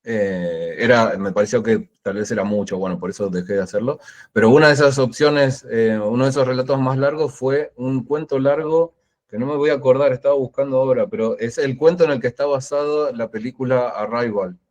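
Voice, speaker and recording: Host: man running a virtual book club, mid-pitched, musing, rambling. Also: fairly even intonation, a little fast, darkish tone. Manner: rambling, thinking aloud. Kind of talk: casual video-call discussion. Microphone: video call.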